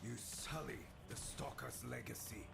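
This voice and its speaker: A man's voice: mid-range voice, unhurried, vocal fry, over loud music. A man voicing a stern, disciplined warrior master in a video game, grave and commanding.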